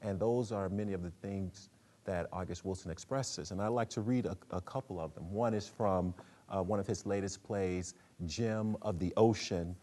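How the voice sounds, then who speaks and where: A man giving a prepared literary talk that introduces dramatic readings, with measured, halting phrasing. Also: sits low, mellow. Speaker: speaker, literary presenter.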